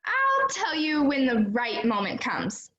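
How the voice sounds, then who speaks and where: Young woman as runaway teen girl, high voice, melodramatic, earnest storyteller, stage play, echoey room. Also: wildly swooping pitch, slow, sharp tone, dull audio, slight background noise, exaggerated voice. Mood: dramatic, confiding. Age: young adult. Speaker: student actor in a play. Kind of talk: amateur stage play acting. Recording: roomy, echoing sound.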